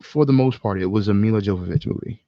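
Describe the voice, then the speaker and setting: A man's voice: lowish voice, fast talker, mellow, no room sound, dull audio. A man co-hosting a casual podcast chat about movies, speaking frankly in informal slang with some swearing. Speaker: podcast co-host.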